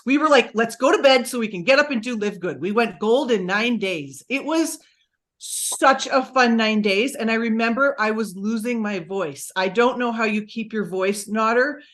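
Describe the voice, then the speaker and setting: An energetic woman speaking to her team over a video call. Her voice sits a little high and she tells her story with plenty of excitement. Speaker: presenter on a team training call. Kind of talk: excited motivational talk.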